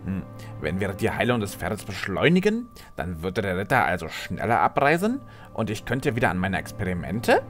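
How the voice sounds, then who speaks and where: A man's voice: low-pitched, wildly swooping pitch, normal speed, a bit bright, faint music behind, full character voice. A man playing an impatient, scheming court alchemist with a questioning, insistent manner in a fantasy audio drama. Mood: calculating. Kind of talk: fan-made fantasy audio drama acting.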